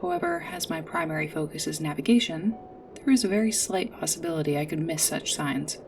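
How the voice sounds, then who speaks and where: Low-voiced, formal female android guide, acted by a woman. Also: expressive, vocal fry, darkish tone, distant mic, a little hiss or hum. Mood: formal, helpful. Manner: formal, precise, measured, literal-minded. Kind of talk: scripted sci-fi ASMR roleplay. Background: music.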